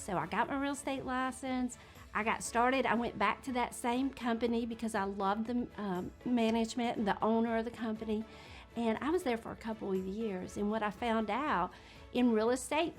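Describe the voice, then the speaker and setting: A woman in her late forties, a real estate agent, telling her story in a chatty, candid way. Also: a little high. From Georgia, USA. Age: 40s. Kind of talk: casual talk to camera.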